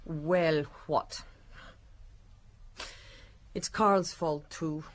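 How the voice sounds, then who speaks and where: An actress playing a defiant, sardonic gangster's moll in a radio detective drama, with a languid, scornful delivery and a creaky voice. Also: female voice, deep voice, steady tone, drawn-out words.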